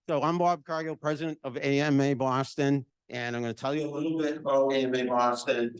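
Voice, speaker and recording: Guy w a deep booming voice doing event welcomes, chatty, no mic, average pitch, echoey room. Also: fairly even intonation, brisk. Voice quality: deep voice that carries. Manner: loud, chatty, speaking without a microphone. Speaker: association chapter president opening an event. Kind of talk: welcoming remarks at a live event. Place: event room at a nonprofit center. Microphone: no microphone, recorded from the room.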